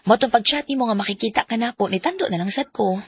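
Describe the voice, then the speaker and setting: An actress playing an earnest, vulnerable young working woman in a radio drama, with a Cebuano Filipino accent and a husky voice. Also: fairly high pitch, quick pace, fairly bright tone. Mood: friendly, shy. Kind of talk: Bisaya radio drama acting.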